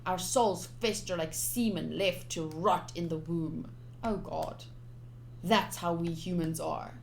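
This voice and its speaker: A woman's voice: on the low side, fairly even intonation, slow pace, gravelly, a little hiss or hum. A woman streamer reading visual novel text aloud, with casual commentary of her own. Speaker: game streamer. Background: game music.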